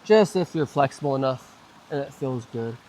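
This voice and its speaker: A man leading a guided mobility flow, calmly cueing each move and breath, his voice slightly hoarse as he recovers from a cough. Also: medium pitch, moderate pace, a little hiss or hum. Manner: calm, gentle cueing of moves and breaths. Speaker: mobility and stretching instructor.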